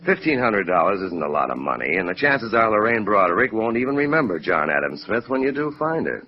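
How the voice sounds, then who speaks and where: A man's voice: fairly low pitch, very animated, fairly quick. An actor playing an earnest, sentimental middle-aged insurance claims man in a 1950s radio drama, talking conversationally. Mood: earnest, wistful. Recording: muffled vintage radio recording.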